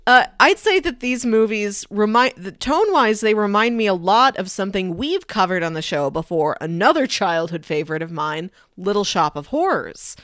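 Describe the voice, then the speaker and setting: A woman's voice: medium pitch, lively intonation, normal speed, hardly any pauses, a bit bright. Woman, podcast co-host. Enthusiastic, opinionated, conversational, with a dry, echo-free sound. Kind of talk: casual film review chat.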